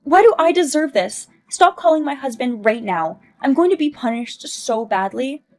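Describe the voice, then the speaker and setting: A woman doing a shrill, whiny greedy mom. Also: animated, moderate pace, darkish tone. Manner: shrill pleading whine.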